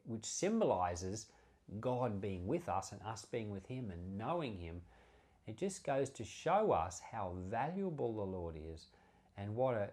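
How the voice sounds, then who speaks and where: A man speaks as a pastor sharing Bible teaching. His delivery is conversational and earnest, with a very lively pitch that rises and falls as he stresses and repeats key phrases. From Australia. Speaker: pastor, Bible podcast host. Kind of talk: informal Bible teaching and prayer.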